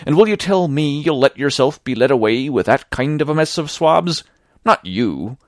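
Male reader doing a hoarse honest sailor, shaky and defiant, lively, dry. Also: fairly high pitch, normal speed, no room sound, pitched above the performer's own voice. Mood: pleading. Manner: raised, pleading then defiant.

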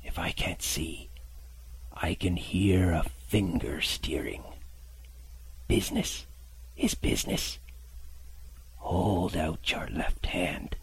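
A man voicing a cruel blind male pirate beggar, shifting from a high whining plea to hissed, whispered threats. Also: takes it slowly, pauses often, no echo, full character voice, rough recording. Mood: menacing whisper. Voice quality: very husky, breathy. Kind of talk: audiobook character voice.

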